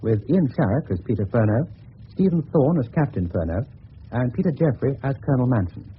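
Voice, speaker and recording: A man's voice: mid-range voice, expressive, fairly quick. A man with an English accent giving a formal, scripted introduction as a radio drama announcer. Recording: tinny, faintly noisy 1970s radio broadcast.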